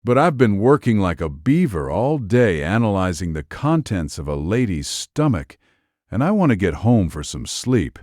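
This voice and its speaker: An actor playing a brisk, sardonic male medical examiner in an audiobook drama, grumbling in a dry, grim manner. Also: on the low side, big pitch swings, darkish tone, no echo.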